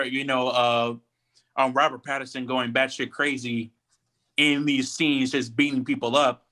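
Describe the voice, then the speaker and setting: A man's voice: mid-range voice, pushed and bright. A man chatting casually as a podcast co-host, giving his commentary. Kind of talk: casual chat, pop culture commentary.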